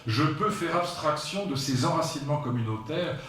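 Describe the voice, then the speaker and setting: A man's voice: a little high, animated, moderate pace, fairly bright tone. Guy in his 60s giving a philosophy talk, lots of asides, sounds far off in a big echoey hall. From France. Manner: digressive and emphatic, with frequent asides to the audience. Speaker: lecturer at a philosophy conference. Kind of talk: public philosophy lecture. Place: lecture hall.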